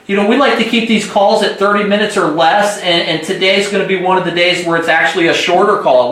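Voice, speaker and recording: A man with a fairly high voice speaks in an echoey room as he hosts a training call. He coaches in a direct, instructional way, repeating key points for emphasis. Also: sharp tone. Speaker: sales trainer hosting an agent conference call. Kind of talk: instructional sales coaching talk.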